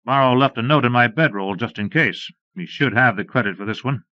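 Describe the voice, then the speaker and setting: A man's voice: medium pitch, brisk, sharp tone, no room sound, lightly acted. A man voicing a stern, terse Texas Ranger in a Western audiobook, with commanding, clipped delivery. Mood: matter-of-fact. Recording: dull lo-fi cassette transfer.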